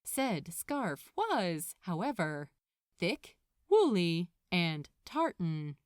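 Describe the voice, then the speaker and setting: Woman reading a story, takes her time, really lively intonation, dry sound. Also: lowish voice, drawn-out words, no room sound. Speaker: podfic narrator. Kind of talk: expressive scripted fiction narration.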